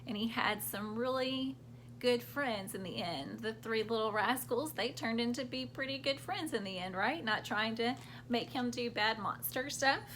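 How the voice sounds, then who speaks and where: A woman's voice: fairly high pitch, normal speed, fairly bright tone, a little hiss or hum, low-quality recording. A woman hosting a children's storytime, reading picture books aloud expressively and explaining things simply for young listeners.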